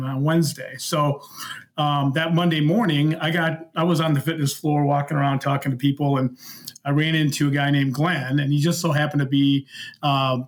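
A middle-aged man telling anecdotes in a casual, unhurried, conversational way, often retelling dialogue as he goes. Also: on the high side. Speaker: podcast guest, former concert security worker.

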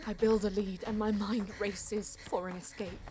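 A woman's voice: mid-range voice, level tone, normal speed, mellow, close to an everyday voice. A woman playing a determined alien artisan who narrates her desperate fight tensely and dramatically in a sci-fi audio drama. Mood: grim, resolute.

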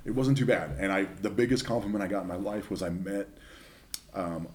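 A young man chatting casually as a podcast guest, telling stories in a relaxed, rambling way. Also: deep voice, fairly quick, a little hiss or hum. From Northern California. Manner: chatty storyteller. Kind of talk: casual chat.

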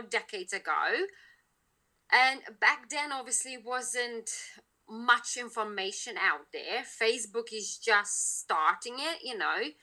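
A woman with a Lithuanian accent and a very bright, forceful voice, pitched a little high. She talks casually as a podcast guest, full of fillers and restarts. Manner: chatty, many fillers and restarts. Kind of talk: casual interview talk.

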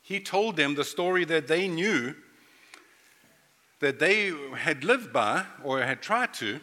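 A bright, fairly high-voiced middle-aged man preaching a sermon. He talks playfully and speaks straight to the congregation. His voice rings with a long reverb through the sanctuary. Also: expressive, slow pace. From South Africa. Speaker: pastor. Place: church sanctuary.

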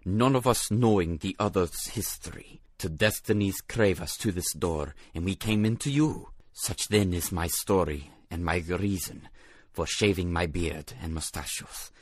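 A man's voice: low-pitched, measured pace, no room sound, clean studio sound. An actor playing a long-suffering young prince turned beggar dervish, telling his tale in formal, declamatory archaic English for an audio drama. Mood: narrating, weary. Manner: declamatory, formal archaic phrasing with recited verse.

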